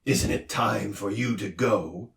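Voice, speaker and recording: A man voicing a pompous male doctor who lectures loudly and roars, in a storybook reading. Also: fairly low pitch, takes it slowly, vocal fry, echoey room, distant mic, heavily acted. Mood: lecturing. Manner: loud, roaring, lecturing. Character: booming gruff male noise doctor, pompous.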